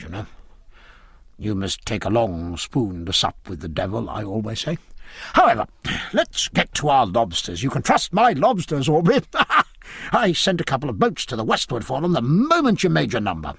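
A man's voice: lowish voice, creaky, a bit bright, husky, dry recording, clean studio sound, voice pushed down, full character voice. A man voicing a hearty, garrulous older British admiral in a naval audiobook, blustering and fond of proverbs. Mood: wary, confiding. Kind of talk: naval historical audiobook character dialogue.

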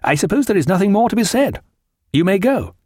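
A man's voice: high voice, big pitch swings, fairly quick, darkish tone, slightly rough, no echo, clean studio sound, voice pushed up, heavily acted. A man voicing a haughty, petulant young naval lieutenant in an audiobook, clipped, officious and scornful. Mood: sullen.

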